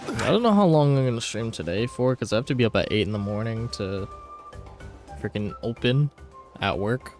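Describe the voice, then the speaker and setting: A man's voice: mid-range voice, expressive, moderate pace, darkish tone. A young man streaming a game, chatting casually with friends in a slangy, sweary way. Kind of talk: casual chat with friends while gaming. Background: video game music and dialogue.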